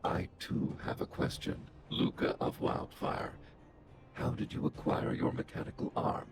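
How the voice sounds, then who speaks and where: An actor playing a huge, stern combat robot in a video game, speaking in a formal, measured, emotionless but respectful manner. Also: male voice, over music, lightly acted. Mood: solemn, formal. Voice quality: very deep, gravelly. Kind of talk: video game character acting.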